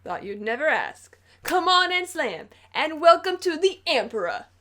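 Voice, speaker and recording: A woman's voice: sits high, big pitch swings, a little slow, pushed and bright, full character voice. A woman playing a cocky, breezy skateboarding cartoon character in a scripted comedy sketch. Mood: showy.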